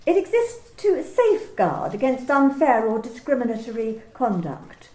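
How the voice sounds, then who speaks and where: An actress playing a calm, insinuating female office manager in an amateur radio play. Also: on the high side, normal speed. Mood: cool probing. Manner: calm, insinuating, repeats questions. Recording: roomy home recording.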